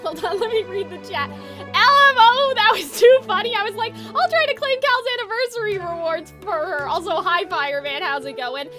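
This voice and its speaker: A woman with a very high voice hosts a live video game stream. She talks casually to her chat in an informal, exclamatory way, dropping swear words. Music plays clearly behind her. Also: expressive, moderate pace.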